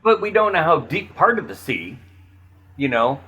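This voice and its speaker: A man's voice: fairly high pitch, sing-song, moderate pace, bright, forceful tone, breathy edge, rough recording. A man chatting casually as a panelist on a podcast.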